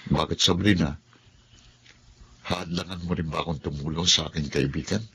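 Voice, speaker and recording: A man voicing a generous, loyal best friend in a radio drama, speaking calmly and in a measured way. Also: a bass voice, creaky, a little hiss or hum, full character voice.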